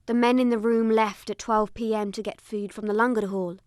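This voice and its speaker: A woman's voice: a little high, steady tone, fairly quick, darkish tone, clean tone, no echo, studio quality, close to an everyday voice. A woman playing a young girl survivor, giving dramatised first-person narration of an attack. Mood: sombre recounting.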